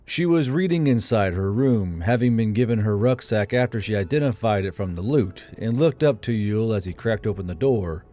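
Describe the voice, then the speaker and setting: A man's voice: fairly low pitch, expressive, normal speed, hardly any pauses. A man reading a scripted fantasy story aloud as an audiobook narrator. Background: soft background music.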